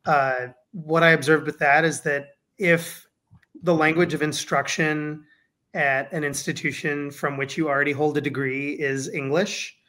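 A faculty member hosting a Q&A session on a video call, answering with a measured manner and many fillers. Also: male voice, fairly high pitch, steady tone, sharp tone. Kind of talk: casual Q&A moderation.

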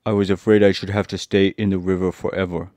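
A grateful, warm-hearted scarecrow from a storybook, spoken fairly low and plain, as a male reader puts him on. The voice stays near the reader's own, in a very dry, close recording. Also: little pitch movement, fast talker, no echo, close to an everyday voice. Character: grateful straw-stuffed scarecrow man.

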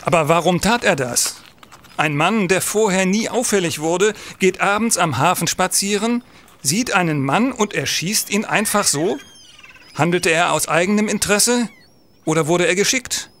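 An actor playing a stern, troubled police senator in a radio play, speaking gravely and emphatically. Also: male voice, high-pitched, expressive, normal speed, a bit bright. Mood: grave, brooding. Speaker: actor in an audio drama. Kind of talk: German radio play acting.

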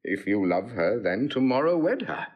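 An actor playing a cold, scheming nobleman, measured and insinuating, in classical Shakespearean style. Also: medium pitch, big pitch swings, highs cut off. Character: cold scheming nobleman villain, slandering brother. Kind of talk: classical Shakespearean audio drama acting.

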